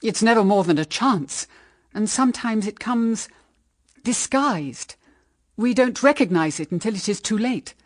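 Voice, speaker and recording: Casting: middle-aged British mother, period radio drama. Grave, practical, formal, expressive mid-pitched voice. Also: dry recording, studio quality. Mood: gentle, grave. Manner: measured, earnest, formal phrasing. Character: grave, pragmatic widowed mother guarding her daughters.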